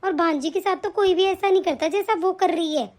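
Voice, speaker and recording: A soft-hearted old grandmother who frets and speaks gently and slowly to her family, as a woman acts her out. Her voice sits high and a little strained. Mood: fretful. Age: older. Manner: gentle, fretful. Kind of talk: comic family skit acting.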